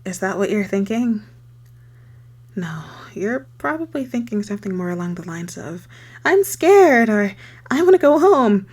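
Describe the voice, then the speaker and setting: Casting: actress as young female circus performer, lovesick obsessive captor for ASMR drama. Medium-pitched, husky-breathy, halting and intimate, slips into whispers. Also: big pitch swings, darkish tone. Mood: desperate, pleading. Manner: intimate, halting, stammering, swinging from sweet to desperate. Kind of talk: scripted ASMR roleplay monologue.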